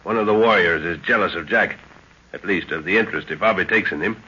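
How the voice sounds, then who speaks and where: A muffled 1930s radio adventure recording. a worldly, authoritative professor with a very low, raspy voice. He talks like a measured, decisive father. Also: sharp tone, full character voice. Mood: grave, knowing.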